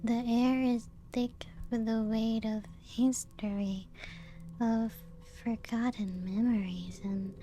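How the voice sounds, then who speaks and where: A woman playing a playful, teasing vampire who guides guests round her ancient castle in soft-spoken ASMR roleplay, hushed and often slipping into whispers. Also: on the high side. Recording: music playing behind the voice. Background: background music.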